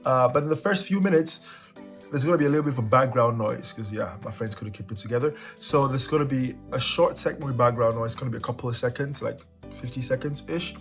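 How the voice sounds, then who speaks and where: Young man from Cameroon, channel host, jokey and relaxed, roomy sound with soft music under him. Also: mid-range voice, quick pace. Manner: joking, playful. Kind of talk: casual vlog chat. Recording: echoey room with music behind.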